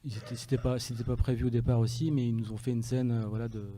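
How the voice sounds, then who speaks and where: A man with a very dark, mellow voice at a middle pitch. He speaks haltingly, with many restarts, as a guest on a radio show. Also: flat delivery. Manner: hesitant, many fillers and restarts. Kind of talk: radio interview.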